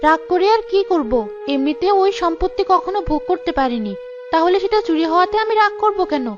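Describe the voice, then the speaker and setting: A woman plays a sensible, long-suffering wife in a village cartoon. She speaks high, with a plaintive, patient delivery. The audio is slightly muffled and music plays clearly behind her. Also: lively intonation, a little fast. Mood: resigned, reassuring. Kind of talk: cartoon dialogue.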